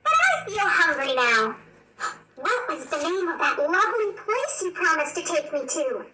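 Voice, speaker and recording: A woman voicing an excitable, wide-eyed, cheerful young princess from a storybook. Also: extremely high pitch, unhurried, full character voice. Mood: curious. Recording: roomy, distant, faint noise.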